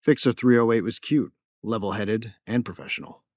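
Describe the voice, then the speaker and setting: Male actor as young bounty hunter, low voice, dry close recording, wry, laid-back, cocky, audio drama narrator. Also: normal speed, a little mellow, no echo, close to an everyday voice. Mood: wry narration.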